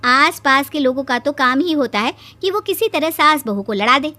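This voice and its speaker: A woman plays a cheeky young woman in a children's cartoon, protesting and speaking her mind. The voice is high, over faint music. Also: expressive, moderate pace, sharp tone. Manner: outspoken, protesting. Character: cheeky, outspoken young woman eager to do laundry. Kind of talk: cartoon story character voice.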